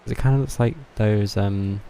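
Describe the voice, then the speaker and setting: A man's voice: fairly low pitch, steady tone, moderate pace, mellow, slight background noise. A man casually commentating on his live gameplay, thinking aloud in a musing, rambling way. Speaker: video game streamer.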